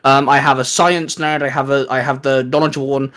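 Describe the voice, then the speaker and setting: A man's voice: mid-range voice, little pitch movement, fairly quick, a bit bright. A man chatting casually as co-host of a geeky fan show, explaining things informally and at length. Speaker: co-host of a geek-culture discussion show. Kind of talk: casual fan chat about comics.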